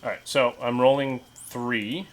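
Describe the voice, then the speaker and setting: A man's voice: mid-range voice, fairly even intonation, unhurried. A man playing in a tabletop roleplaying game, talking casually and sometimes speaking as his character.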